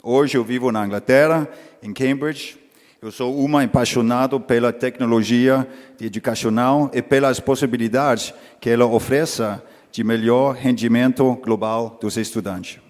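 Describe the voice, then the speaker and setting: Non-native man speaking halting Portuguese in a hall. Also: mid-range voice, a little mellow. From foreign, lived in Brazil as a teen. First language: not Portuguese. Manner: careful, halting non-native speech with restarts. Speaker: conference speaker, company vice-president. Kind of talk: conference presentation. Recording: reverberant conference hall.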